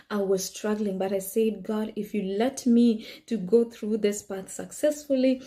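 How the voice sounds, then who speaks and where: A young woman from Kenya, speaking English as a second language, tells her own story in an earnest, animated way, peppering it with "you know." Her voice is mellow and warm. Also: medium pitch. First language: not English. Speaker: vlogger. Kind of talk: personal storytelling.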